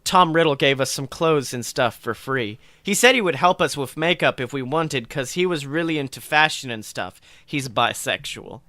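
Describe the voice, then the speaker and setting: Bright-voiced man bantering on a podcast. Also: medium pitch, normal speed, few pauses, dry recording. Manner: profane, jokey, animated reactions. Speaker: podcast co-host. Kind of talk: casual banter and mocking fan fiction read-aloud.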